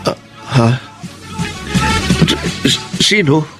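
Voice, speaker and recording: A man's voice: a little high, animated, extremely slow. A man playing a shy, lovestruck young suitor in a radio drama, timid and polite, with a Filipino accent. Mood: hurt, resigned. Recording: music under the voice.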